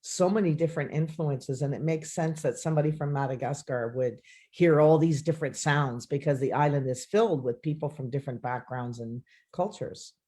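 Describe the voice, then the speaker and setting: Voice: mid-range voice, brisk, mellow. A co-host in a casual live-streamed chat who explains things with enthusiasm and laughs easily.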